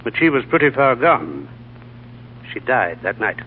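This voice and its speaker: A genteel writer who speaks crisply and properly. His pitch swings lively across lines, and the sound is thin and phone-like, as on an old radio broadcast. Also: measured pace, a little hiss or hum. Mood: explaining. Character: brisk English writer, genteel, solicitous. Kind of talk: 1930s radio drama acting.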